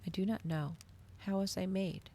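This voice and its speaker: A woman voicing a cold, demanding child vampire girl in a storybook, with hushed, insistent questioning. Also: mid-range voice, steady tone, moderate pace, a little hiss or hum, pitched above the performer's own voice, lightly acted. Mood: strained, uncertain. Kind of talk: ASMR storybook character reading.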